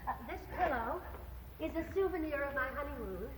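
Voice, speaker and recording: A woman's voice: sits high, expressive, brisk, slight background noise. An actress playing a chattering, pretentious widowed landlady in a stage musical, giggly and affected, dropping French phrases. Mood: gushing, flirtatious. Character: gushing pretentious widowed landlady, middle-aged. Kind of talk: stage musical, singing and acted dialogue. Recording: live stage soundboard, echoey theater hall.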